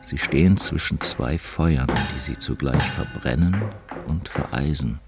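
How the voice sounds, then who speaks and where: A man reading diary entries as a scripted dramatic narration, in a deliberate, literary manner. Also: rumbling low. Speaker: narrator of an audio piece. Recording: loud music mixed in.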